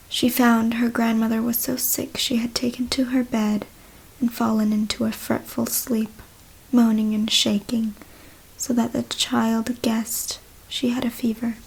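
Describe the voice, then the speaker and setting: A woman reading a story as ASMR in a soft, breathy whisper, hushed and deliberate. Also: on the high side, poor audio. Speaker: ASMR reader.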